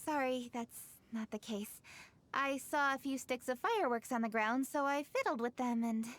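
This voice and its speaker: A woman playing a composed, dutiful young female official in a video game, speaking politely in measured, formal phrases. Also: high voice, fairly bright tone. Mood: sheepish, apologetic. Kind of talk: video game cutscene acting. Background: music.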